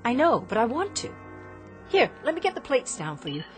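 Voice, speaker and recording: An actress playing an inventor's restless adult daughter in a radio drama, questioning insistently. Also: female voice, sits high, huge pitch swings, moderate pace. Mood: probing. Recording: music under the dialogue.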